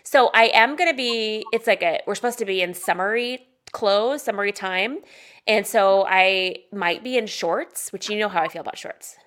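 A woman in her forties co-hosting a podcast, chatting candidly and excitably. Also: medium pitch, normal speed. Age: 40s. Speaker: podcast co-host. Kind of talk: casual chat.

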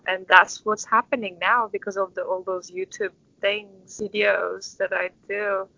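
A woman in her twenties from Istanbul with a Turkish accent, answering interview questions in a thoughtful, halting, conversational way. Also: medium pitch, little pitch movement, gravelly, pushed and bright, dry recording, muffled recording. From Istanbul, Turkey. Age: 20s. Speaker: interview guest, orchestral conductor.

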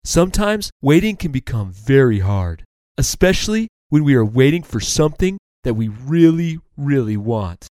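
A man voicing a gentle, encouraging turtle in a children's story, earnest and reassuring. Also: medium pitch, big pitch swings, normal speed, no room sound, clean studio sound, voice pushed up, lightly acted.

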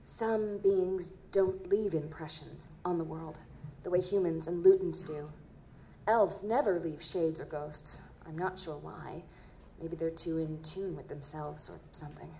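Woman playing a solemn, mellow-voiced psychic. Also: sits low, unhurried, poor audio. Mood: pensive. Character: earnest, gentle female psychic who reads ghostly impressions. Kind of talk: audio drama dialogue. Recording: live performance with background noise.

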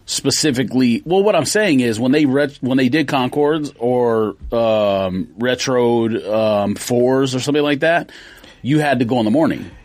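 A man's voice: mid-range voice, moderate pace, a little mellow, no room sound. Rambling man in his forties, dry sound. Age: 40s. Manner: rambling, with many restarts and fillers. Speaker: podcast host. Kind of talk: casual chat.

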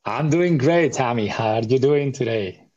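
A man in his forties with a Colombian accent speaks as an interview guest. His mid-pitched voice moves through personal storytelling with halting, non-native phrasing from a Spanish speaker. The audio is slightly dull and lo-fi. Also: animated, a little mellow. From Bogota, Colombia. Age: 40s. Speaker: podcast guest, technical solutions architect.

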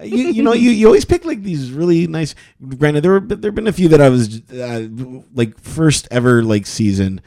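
A man co-hosting a podcast in casual chat, often laughing and halting with many fillers and restarts. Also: fairly high pitch, very animated, warm tone. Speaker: podcast co-host.

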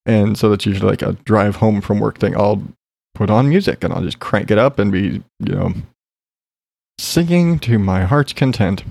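A man's voice: on the low side, expressive, moderate pace, creaky, darkish tone, no echo. A man talking in a casual solo podcast, rambling with lots of fillers and casual swearing, still congested after being sick. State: recovering from illness, congested.

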